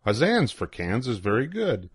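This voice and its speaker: A man's voice: mid-range voice, wildly swooping pitch, moderate pace, husky, no room sound, clean studio sound. An older man reading a children's rhyming picture book aloud in a playful, sing-song way with swooping emphasis. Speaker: storybook reader.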